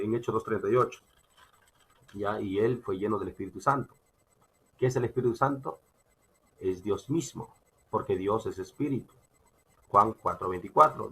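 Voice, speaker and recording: A man with a Peruvian accent preaching a sermon, emphatic and halting, repeating phrases as he teaches from scripture. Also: lowish voice, gravelly, pushed and bright, breathy edge. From Peru. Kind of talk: sermon with Bible reading and personal testimony.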